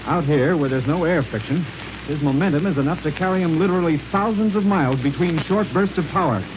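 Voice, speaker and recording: A man playing a steady, determined space hero pilot, earnest and assured, in a 1930s radio serial. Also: fairly high pitch, brisk, lightly acted. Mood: urgent, earnest. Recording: muffled, noisy 1930s radio recording.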